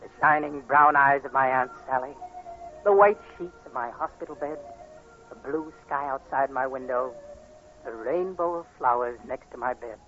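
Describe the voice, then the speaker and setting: Casting: male actor as anguished young patient, intense radio-play delivery, medium pitch, muffled vintage sound. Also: moderate pace, sharp tone, soft background music, close to an everyday voice. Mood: calm, reflective. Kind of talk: 1940s radio acting, first-person narration and dialogue. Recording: noisy, muffled 1940s radio recording.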